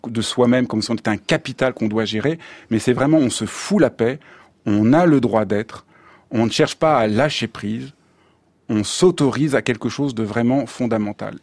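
A man's voice: medium pitch, a little mellow, dry recording. A man being interviewed on radio, speaking thoughtfully about his life with many restarts. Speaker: guest, meditation teacher. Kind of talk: radio interview, reflective talk. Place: radio studio.

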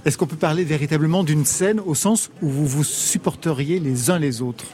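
A man hosts a radio music interview and presses his guest with follow-up questions. His voice sits a little high for a man. He speaks in a measured, conversational way. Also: darkish tone. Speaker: radio host.

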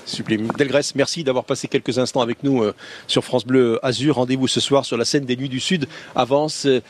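A man's voice: medium pitch, steady tone, a little fast, a little hiss or hum. A male radio host interviewing musicians, asking admiring, enthusiastic questions.

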